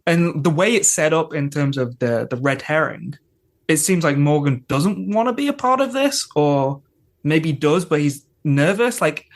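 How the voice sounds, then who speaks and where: A man's voice: on the high side, animated, a little slow. A man talking analytically and casually as a podcast panelist, often breaking off into asides. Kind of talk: casual episode discussion.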